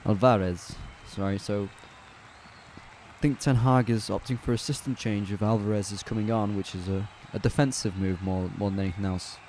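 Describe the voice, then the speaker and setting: Relaxed man giving casual match commentary. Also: on the low side, normal speed, a little hiss or hum. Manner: relaxed, chatty, corrects himself and answers live chat. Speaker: match commentator on a fan watch-along stream. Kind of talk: casual live play-by-play commentary.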